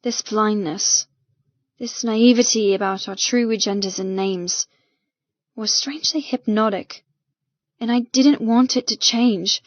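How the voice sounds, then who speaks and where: A woman's voice: medium pitch, unhurried, no echo. A woman reading first-person fiction as an audiobook narrator, with an emotive, dramatic delivery. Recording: dull, muffled, dry recording.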